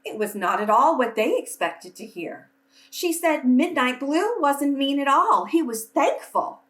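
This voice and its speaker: A woman storyteller with a fairly high voice reads to children at an unhurried pace. Her phrasing is deliberate and expressive. The sound is roomy. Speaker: storyteller reading aloud to children. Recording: roomy echo, distant microphone.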